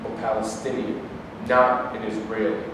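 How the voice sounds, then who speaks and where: A man's voice: fairly low pitch, level tone, moderate pace, recorded from a distance, lightly acted. Guy playing a stubborn indignant arguer in a stage play reading, super echoey hall, noisy recording. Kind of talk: staged reading of scripted dialogue.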